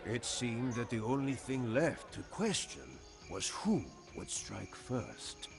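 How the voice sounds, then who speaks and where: An actor playing an honored, solemn general of the Khmer Empire, narrating in a grave, formal, measured way for a strategy game. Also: male voice, medium pitch, big pitch swings, creaky, over music, heavily acted. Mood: ominous. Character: honored old-world Khmer general, solemn, weathered commander. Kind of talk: dramatic scripted game narration.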